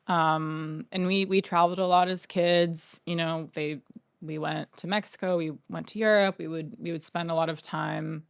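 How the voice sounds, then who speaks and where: Low creaky-voiced woman telling stories. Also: steady tone, moderate pace, no room sound, clean studio sound. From Oregon, United States. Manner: rambling, filler-heavy storytelling. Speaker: painter, interview guest. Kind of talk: casual interview conversation.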